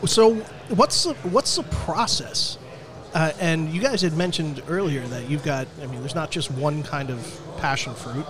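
A male host with a fairly high voice asks his guests questions in a relaxed, joking way. A loud crowd chatters all around outdoors. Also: a little slow. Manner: jokey, conversational questions. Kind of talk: casual interview.

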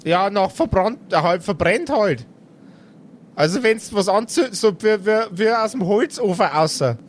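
A man's voice: high-pitched, animated, moderate pace, a little hiss or hum. A man voicing a naive, chatty schoolboy with a Bavarian accent who stammers and rambles, in an improvised tabletop audio play. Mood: excited.

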